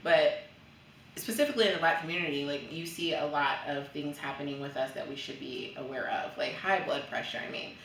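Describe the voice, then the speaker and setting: A woman's voice: deep voice, fairly quick, a bit bright, a little hiss or hum. A woman hosting a casual interview, upbeat and chatty, with frequent short affirmations. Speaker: host. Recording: echoey room from a distance.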